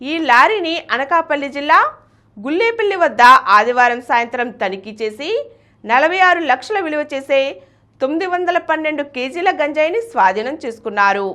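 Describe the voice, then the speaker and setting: A woman's voice: high voice, expressive, a little fast, a bit bright. A woman reading scripted regional news. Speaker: news reader.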